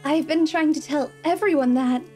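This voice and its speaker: Girl voicing a cute idealistic adventurer lady, really high voice, bit nasal, gushing and sincere, music behind. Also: normal speed, warm tone, close to an everyday voice. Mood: bashful, touched. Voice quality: nasal from a cold. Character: earnest, idealistic, excitable young female adventurer. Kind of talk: roleplay audio drama, cute.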